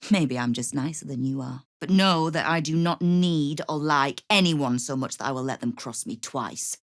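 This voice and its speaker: A woman's voice: deep voice, moderate pace, no room sound, clean studio sound, natural voice. An actress playing a tough, sardonic woman who runs a crime guild in a video game, speaking in a wry, commanding, menacing way. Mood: cool menace. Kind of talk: dramatic video game character dialogue.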